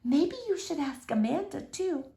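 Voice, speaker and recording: Woman as older lady librarian, storybook, genteel, sweet, hushed, high voice. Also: expressive, measured pace. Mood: conspiratorial.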